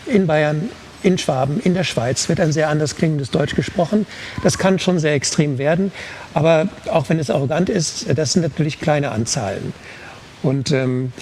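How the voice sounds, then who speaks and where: A man's voice: on the high side, a little mellow, a little hiss or hum. A man giving an unscripted, reflective explanation in a panel discussion, searching for words and correcting himself. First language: German. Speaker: volunteer interpreter on a discussion panel.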